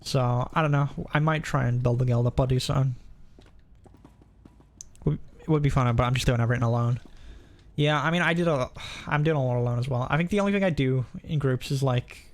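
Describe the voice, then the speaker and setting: A man's voice: medium pitch, fairly quick. A man live streaming a game, rambling casually and thinking aloud as he comments and chats.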